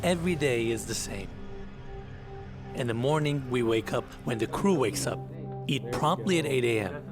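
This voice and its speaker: A young man whose first language is Turkish, hosting a travel vlog with excited on-location chat and reflective voice-over. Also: medium pitch, normal speed. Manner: excitable, exclaims and laughs while crawling through tight spaces. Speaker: host. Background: background music.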